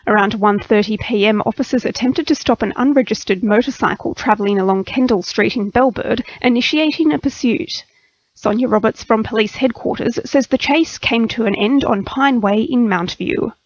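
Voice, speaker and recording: A woman's voice: a little high, moderate pace, a little hiss or hum. An Australian woman reading a scripted radio news bulletin as the newsreader. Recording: slightly dull radio broadcast audio.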